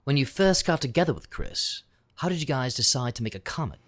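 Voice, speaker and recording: Animated male interviewer. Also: mid-range voice, a little fast. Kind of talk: scripted interview questions.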